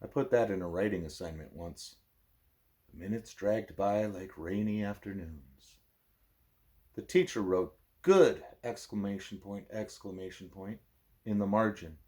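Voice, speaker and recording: A man, a parent, reading a book aloud expressively as a bedtime story, with a wry, deadpan manner. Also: fairly low pitch, a little slow, pauses often.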